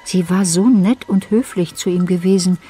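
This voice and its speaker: A woman narrating a radio play from a script in a measured, matter-of-fact way. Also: lowish voice, mellow, slight background noise.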